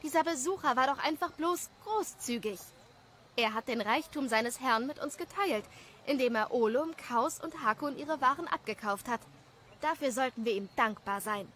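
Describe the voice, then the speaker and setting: A wistful young villager, voiced by a man in an audio drama, earnest and dreamy. The voice is high, with faint noise behind it. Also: moderate pace, sharp tone. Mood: defensive.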